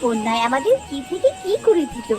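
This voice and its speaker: A woman voicing a worried village wife and mother in a cartoon story, fretful and expressive. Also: high voice, a little fast, pitched below the performer's own voice. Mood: weary, lamenting. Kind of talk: dramatic cartoon story dub. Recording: loud music under the voice.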